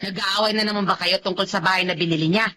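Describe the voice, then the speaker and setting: A woman's voice: mid-range voice, steady tone, fast, pushed and bright, a little hiss or hum, natural voice. A woman with a Filipino accent playing a concerned, frank confidante in a radio drama. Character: concerned, frank female confidante named Ines.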